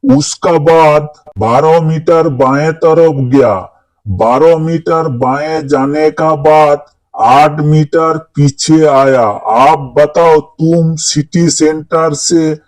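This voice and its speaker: A man's voice: a little high, fairly even intonation, extremely slow, a little mellow, poor audio. A man voicing an exasperated, sarcastic schoolteacher in a comedy cartoon, quizzing pupils with a mocking drawl.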